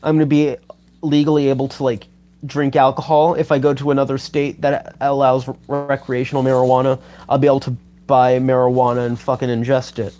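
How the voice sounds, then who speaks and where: A man of about twenty on a livestream, rambling and halting with lots of fillers and swearing as he reads his chat. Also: mid-range voice, flat delivery, dark tone. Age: 20s. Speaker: YouTuber reading live chat. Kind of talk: rambling casual livestream talk.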